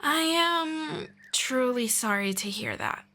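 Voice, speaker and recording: A woman voicing a patient, gently formal female elf friend in an ASMR roleplay, speaking softly and politely. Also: high voice, animated, full character voice. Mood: sympathetic. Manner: soft, unhurried, politely formal.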